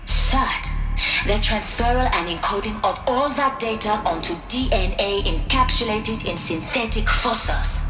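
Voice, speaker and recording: An actress playing a formal, poised archivist. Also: female voice, medium pitch, lightly acted. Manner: formal, articulate, measured. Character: poised female archivist giving a formal welcome. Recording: roomy sound with music behind.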